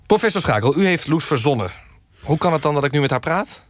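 A man's voice: on the high side, animated, fast talker, no echo, natural voice. A man playing an earnest, patient radio magazine presenter, delivering mock-serious news and interviews. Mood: concerned.

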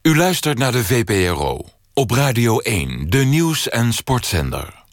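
A man's voice: mid-range voice, big pitch swings, measured pace. A man reading a scripted radio announcement to introduce a programme. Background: music with drums.

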